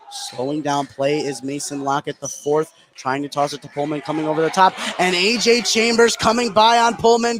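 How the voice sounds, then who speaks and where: Higher-voiced American man calling basketball. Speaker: play-by-play sports commentator. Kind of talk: live basketball radio commentary. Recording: clearly noisy gym ambience.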